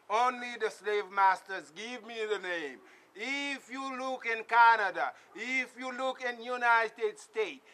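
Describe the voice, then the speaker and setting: A middle-aged Haitian man with a Haitian accent, delivering an impassioned, repetitive political rant straight to a close camera in a small tarp-walled space. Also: sits high. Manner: emphatic and repetitive, swings between shouting and muttering. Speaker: political vlogger. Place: small indoor space with blue tarp walls. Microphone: camera close up in front of him.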